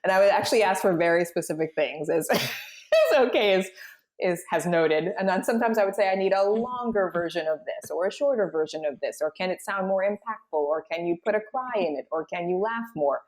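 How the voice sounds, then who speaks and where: Woman, slightly low voice, panel host, voice director, explanatory, encouraging. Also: a little fast, a little mellow. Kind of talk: guiding a cast panel discussion.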